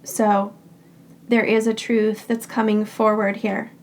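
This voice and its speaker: A hoarse woman with a raspy voice, still getting over a cold, calmly reads tarot cards for her viewers. Her delivery stays level, with little rise and fall, and a gravelly edge runs through her speech. Faint background noise sits beneath her reassuring, unhurried phrases. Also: medium pitch. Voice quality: raspy, scratchy throat. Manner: calm and reassuring, speaks in short unhurried phrases. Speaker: tarot card reader. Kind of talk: pick-a-card tarot reading.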